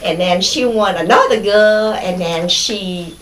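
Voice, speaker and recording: Slow, hesitant Vietnamese-accented woman. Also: mid-range voice, fairly bright tone, roomy sound, a little hiss or hum, low-quality recording. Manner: hesitant, many fillers and restarts. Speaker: interviewee. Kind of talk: oral history interview.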